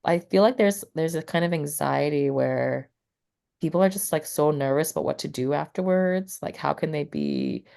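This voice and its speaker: A woman's voice: deep voice, sing-song, normal speed, gravelly, warm tone. A woman poet and teacher talking casually in an interview, rambling and full of fillers like "like" and "you know.".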